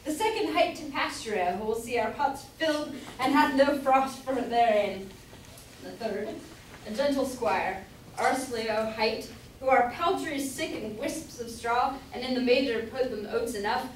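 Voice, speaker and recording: A woman's voice: a little high, unhurried, lightly acted. A woman playing a florid, courteous squire, declaiming archaic verse in an amateur staged reading. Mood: grand, amused. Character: courteous, florid squire announcing a castle's hospitality. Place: live staged reading in an echoey room. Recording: roomy, distant mic, faint noise.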